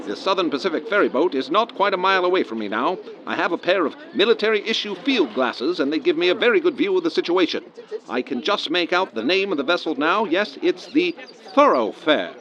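An earnest 1930s radio reporter calling events live from the field. His voice is high and his delivery descriptive and a little hesitant, with a dull, lo-fi broadcast sound. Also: very animated, a little fast, a bit bright. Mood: concerned, observant.

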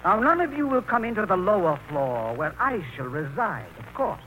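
A man's voice: high voice, big pitch swings, normal speed, bright, forceful tone, full character voice. Pompous older man, theatrically swooping voice. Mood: coldly polite. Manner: pompous, precise, formal. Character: imperious, coldly polite older man, pompous brother-in-law. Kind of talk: 1940s radio acting. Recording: muffled, noisy old radio broadcast.

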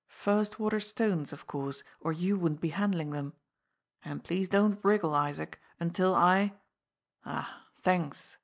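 Woman voicing a soft-spoken masked gentleman thief, cool, polite, mocking. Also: on the low side, slow pace, creaky, heavily acted.

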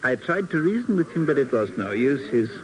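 A man's voice: mid-range voice, very animated, fairly quick, crowd noise, lightly acted. An actor playing an evasive, self-justifying businessman in a 1950s radio drama, formal, earnest and hesitating. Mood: defensive, earnest. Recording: noisy old radio broadcast recording.

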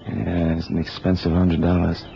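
Through a noisy, muffled old radio recording, a restless streetwise investigator. His low voice is colloquial, like someone keeping watch and itching for action. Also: completely flat delivery, moderate pace, vocal fry. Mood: watchful, wry. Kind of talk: radio drama acting.

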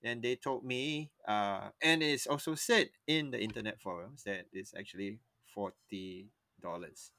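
A young man tells a story on a podcast in a bright, forward voice. The sound is close and dry, with no room around it. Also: lowish voice, a little slow, no echo. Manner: rambling, often stops and restarts mid-sentence. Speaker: podcast co-host. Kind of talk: casual storytelling chat.

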